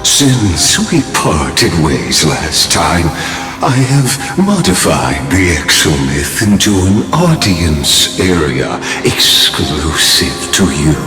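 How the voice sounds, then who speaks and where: An actor playing a cold, scholarly game villain who speaks in formal, pompous phrases with calm menace, over music. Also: male voice, low-pitched, roomy sound, exaggerated voice. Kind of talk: scripted video game villain dialogue. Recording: loud game music behind. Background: game soundtrack music.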